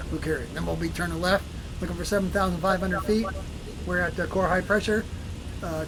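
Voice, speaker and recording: A man's voice: high voice, noisy background. A man flying in a flight simulator, calling out altitude and speed and joking and swearing freely with his group. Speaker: flight sim pilot. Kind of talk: casual group chat over voice comms.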